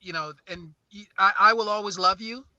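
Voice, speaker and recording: A man's voice: high-pitched, moderate pace, bright, forceful tone, dry recording, lo-fi sound. A man from St. Croix and New Orleans talking casually and effusively as a music artist being interviewed, often repeating and correcting himself.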